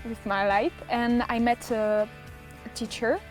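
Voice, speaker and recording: A woman's voice: fairly high pitch, flat delivery, a little slow, soft background music. A young woman from the south of France with a French accent, answering casually, searching for English words and often giggly. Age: young adult. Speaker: exchange student interviewee.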